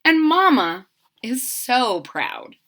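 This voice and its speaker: A woman storyteller with a high, bright voice reads to young children at an unhurried pace. She is expressive, adding simple explanations and questions as she goes. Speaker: storyteller reading a picture book aloud to children. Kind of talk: animated read-aloud with asides and questions to young listeners.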